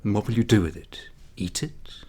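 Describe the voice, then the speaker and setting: A man's voice: lowish voice, normal speed, mellow, lightly acted. A man voicing a wily, impoverished village father in a storybook tale, earnest and persuasive with a sly solemnity. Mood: scornful retort. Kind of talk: storybook character voice.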